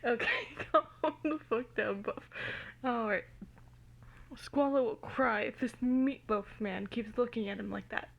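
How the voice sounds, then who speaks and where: A woman giving an amateur, theatrical and giggly dramatic reading of fan fiction, recorded in low quality on a phone. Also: a little slow, creaky, muffled recording. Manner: giggly, theatrical, easily cracking up.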